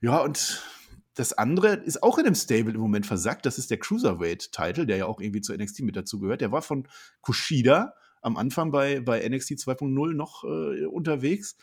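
A man's voice: medium pitch, animated, fairly quick, husky. A man co-hosting a casual podcast, rambling through a rundown with dry jokes. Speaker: podcast co-host. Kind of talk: casual wrestling chat.

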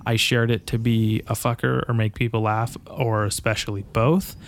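A man's voice: lowish voice, level tone, slight background noise. Teasing man in casual chat. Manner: teasing, profane, quick asides. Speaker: podcast co-host.

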